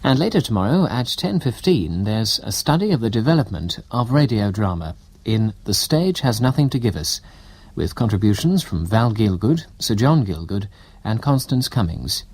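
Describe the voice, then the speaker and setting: A British man works as a radio continuity announcer, reading from a script with formal, measured delivery. His voice sits fairly low but moves with animated intonation. The sound is very dry, with no room around it. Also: breathy edge, dry recording. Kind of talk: scripted announcements and weather forecast.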